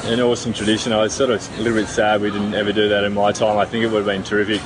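A middle-aged man from New Zealand, a former rugby player answering interview questions in a relaxed, rambling way with many fillers and restarts. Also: on the low side, racing, noisy background.

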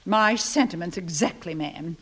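An actress playing an outspoken, folksy housekeeper in a 1950s radio comedy, blunt and wisecracking. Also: female voice, lowish voice, normal speed, fairly bright tone. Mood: wry. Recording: vintage 1950s radio recording.

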